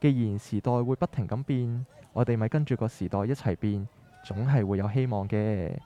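Man playing an earnest young son, mellow Cantonese. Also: lowish voice, moderate pace, natural voice. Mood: hopeful, earnest. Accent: Hong Kong Cantonese. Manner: earnest, persuasive. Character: earnest, determined young adult son of fish-market family. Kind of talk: scripted radio drama dialogue.

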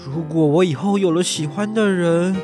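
男声优演的天真少年少爷，音调很高. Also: male voice, sits high, moderate pace, over music, close to an everyday voice. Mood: earnest, anxious. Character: naive, oblivious, boyish young master, affectionate and easygoing. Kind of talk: Chinese audio drama acting.

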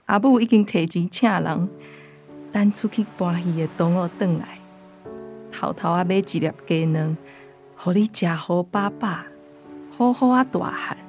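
Tender, low-voiced ghostly mother. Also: unhurried, darkish tone, faint music behind. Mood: tender, sorrowful. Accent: Taiwanese. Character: young granddaughter channelling a tender, self-sacrificing ghost mother. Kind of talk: radio drama acting.

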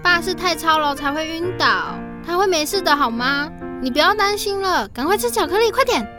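A woman's voice: very high voice, lively intonation, a little fast, music in the background. A young woman playing a protective, caring elder sister in an audio drama, coaxing and reassuring. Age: young adult. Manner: gentle coaxing, nagging reassurance.

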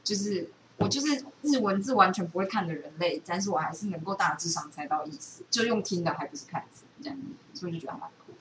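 A woman's voice: lowish voice, lively intonation, quick pace, hardly any pauses, a bit bright, reverberant. A woman with a Taiwanese accent chatting casually as a podcast host, thinking aloud in a rambling way. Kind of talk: casual chat. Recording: distant mic with faint background noise.

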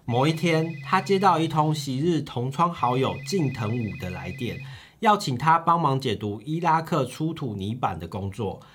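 A man co-hosting a book podcast, narrating from a script like a storyteller with drawn-out phrase endings. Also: medium pitch, expressive, a bit bright, slight background noise.

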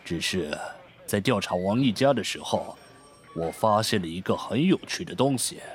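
Brief: shrewd police captain, low-pitched, composed authority, radio drama. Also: male voice, lively intonation, moderate pace. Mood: composed, businesslike.

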